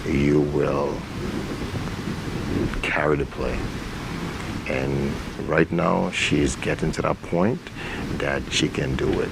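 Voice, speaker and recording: Very deep, creaky-voiced man in interview. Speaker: stage play director being interviewed. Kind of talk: casual interview talk. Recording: steady background hiss and rumble.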